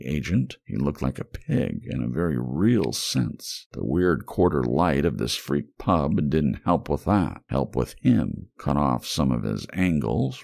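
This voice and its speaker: A man reading a dark story as an audiobook narrator in an ASMR style, with a brooding, wry delivery. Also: very deep voice, creaky, no echo, clean studio sound. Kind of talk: scripted noir fiction narration for ASMR.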